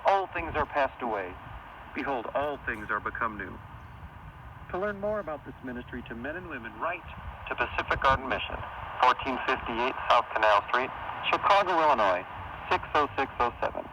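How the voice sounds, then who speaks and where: A man's voice: medium pitch, lively intonation, moderate pace. Male radio announcer through heavy noise. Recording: distant, noisy shortwave radio broadcast.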